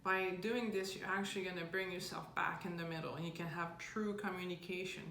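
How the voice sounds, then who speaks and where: A woman's voice: lowish voice, steady tone, a little fast, pushed and bright. A woman relationship coach giving earnest advice and explaining with homely analogies. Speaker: relationship coach answering viewer questions. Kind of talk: casual advice talk. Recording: roomy with faint background noise.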